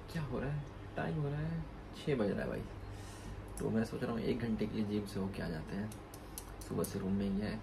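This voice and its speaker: A man, chatting casually and informally as a student vlogger into a phone, mixing in English words. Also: lowish voice. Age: 20s. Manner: informal, repetitive filler phrases, Hindi mixed with English words. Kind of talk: casual vlog chat. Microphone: phone at arm's length. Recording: noisy street and indoor phone recording.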